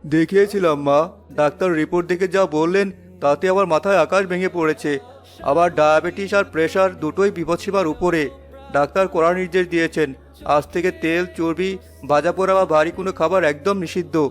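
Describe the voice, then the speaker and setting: A man voicing an ailing, resigned middle-aged father in a cartoon, plaintive and gently admonishing. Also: on the high side, fairly even intonation, brisk, soft background music, natural voice. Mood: troubled. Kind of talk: cartoon dialogue.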